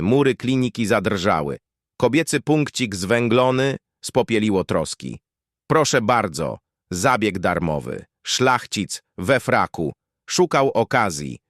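A man narrates a grim horror tale in fragmentary, poetic prose. His voice is fairly low and very expressive, with wide swings in pitch. The recording is dry and close, letting each deliberate phrase stand alone. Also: no room sound.

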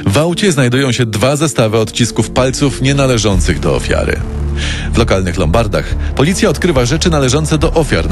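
A man narrating a scripted true-crime radio story in a dramatic storytelling manner. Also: medium pitch. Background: prominent music bed.

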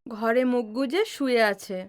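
A woman's voice: on the high side, moderate pace, natural voice. A woman playing a worried, questioning young daughter in an audio drama.

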